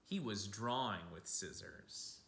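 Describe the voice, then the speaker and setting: A man giving an expressive read-aloud of a picture book for children, like a teacher speaking to young listeners. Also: lowish voice, slow. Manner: addresses young listeners with simple asides and questions. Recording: roomy, echoing room sound.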